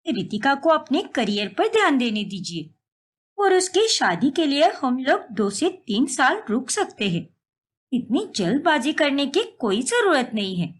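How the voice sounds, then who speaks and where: A woman's voice: sits high, breathy edge, full character voice. A woman voicing an insistent, scheming young sister-in-law in a dramatic cartoon family melodrama.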